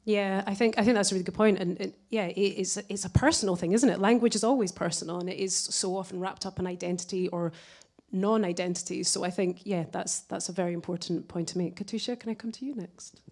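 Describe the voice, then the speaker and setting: A woman's voice: medium pitch, steady tone, quick pace, a little mellow. A woman moderating a live panel discussion, polite and hesitant, with many fillers as she talks. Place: gallery event space.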